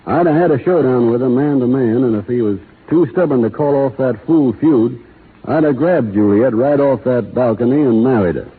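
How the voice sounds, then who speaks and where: An actor playing a laconic young cowboy who talks in a slow, folksy rural drawl, in a 1930s radio drama. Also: male voice, medium pitch, husky, lightly acted. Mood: opinionated. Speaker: lead actor in a radio play. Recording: tinny 1930s radio broadcast with faint hiss.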